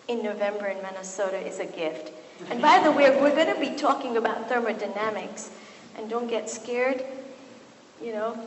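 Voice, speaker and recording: A middle-aged woman from Kenya, a physician and author, giving a public talk with deliberate, emphatic pauses. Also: on the high side. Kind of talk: public talk at a book event. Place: large resonant university hall. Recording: echoey hall, some background noise.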